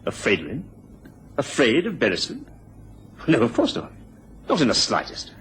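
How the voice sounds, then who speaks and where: A man's voice: mid-range voice, wildly swooping pitch, moderate pace, a bit bright, a little hiss or hum. An actor playing a proud, defensive Englishman of influence in a radio drama, stiff and guarded in manner. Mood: indignant.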